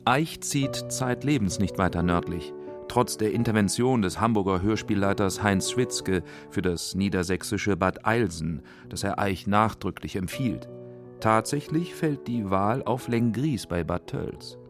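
A man's voice: on the low side, unhurried, over music. A man narrating a scripted radio feature as its documentary narrator.

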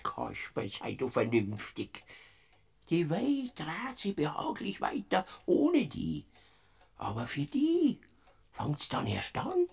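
An actor playing Death as a sly, bumbling peasant spirit in a Bavarian radio play, stammering, giggling and dropping to a hush. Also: male voice, fairly high pitch, wildly swooping pitch, slow pace, heavily acted. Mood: hushed, coaxing. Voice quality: raspy, creaky, whispering and squealing by turns. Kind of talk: classic radio play acting.

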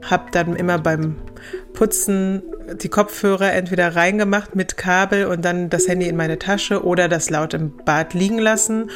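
A woman's voice: fairly low pitch, steady tone, moderate pace, over music. A woman in her thirties, an interview guest, casually recalling her own experience. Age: 30s. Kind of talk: casual spoken recollection.

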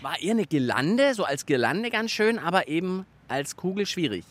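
A man's voice: sits high, big pitch swings, normal speed, a bit bright. A man hosting a children's science show, chatting cheerfully and laughing easily. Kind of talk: casual presenting for children.

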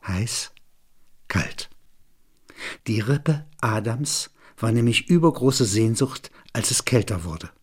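Slightly husky man narrating literary reflections. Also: medium pitch.

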